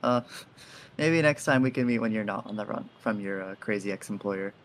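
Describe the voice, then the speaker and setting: A man's voice: fairly low pitch, fairly quick, lightly acted. A man playing an awkward, friendly ghost in an audio drama, speaking in a hesitant, casual way. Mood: gentle, awkward. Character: awkward, gentle, friendly male faceless ghost.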